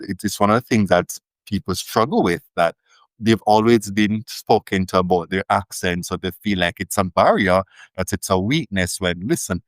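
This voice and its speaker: A man's voice: on the low side, no room sound. A Jamaican man, a linguist guest, telling stories in a thoughtful, emphatic way in a casual interview. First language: Jamaican Creole.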